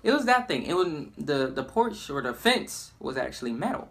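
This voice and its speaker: A man's voice: a little high, normal speed. A young man chatting casually and informally in a rambling storytelling style, as a gaming YouTuber. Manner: informal, rambling, acts out quotes excitedly. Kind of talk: casual storytelling over gameplay.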